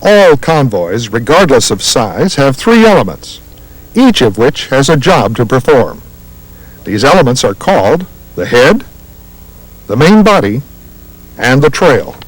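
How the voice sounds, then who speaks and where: An American man reads scripted instructional narration for a military training film in a measured, formal manner. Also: mid-range voice, big pitch swings, no echo, poor audio.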